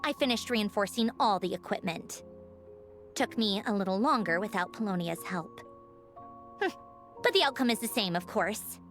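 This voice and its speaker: A breezy woman engineer in a video game, played by an actress, with very animated pitch swings. She is teasing, theatrical and sure of her authority, with music playing behind her. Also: moderate pace, close to an everyday voice. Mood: brisk, matter-of-fact.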